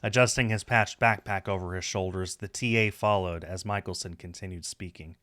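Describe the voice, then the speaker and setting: A man's voice: low-pitched, steady tone, moderate pace, pushed and bright, dry recording, clean studio sound. A man reading story narration aloud from a script. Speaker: streamer reading a visual novel aloud.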